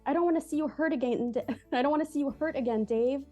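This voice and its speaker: A woman acts out a devoted wife in a comedy table read. Her voice is fairly high with a mellow tone, tender and earnest in worry and support, with some music behind. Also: fast talker, natural voice. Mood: worried. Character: worried, supportive, loving wife and mother. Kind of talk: live table read of a movie script.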